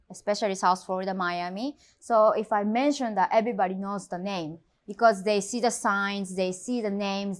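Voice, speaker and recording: Brief: Japanese-born woman, thirties, real estate agent, non-native English, mid-pitched, hesitant and earnest. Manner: halting non-native English with frequent fillers. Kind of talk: interview conversation.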